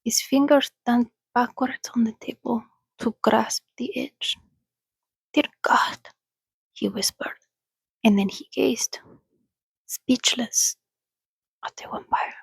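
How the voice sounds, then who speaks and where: A woman reading a story aloud in a soothing, breathy, soft-spoken ASMR style, often slipping into a whisper, close to the microphone. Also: on the high side, no room sound. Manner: soothing, unhurried. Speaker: reader.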